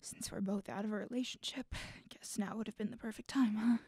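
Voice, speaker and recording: Woman playing wry guarded young adult, mellow soft-edged tone, dry recording, audio drama. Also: medium pitch, fairly even intonation, a little fast, breathy edge, no room sound. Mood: tender, resigned.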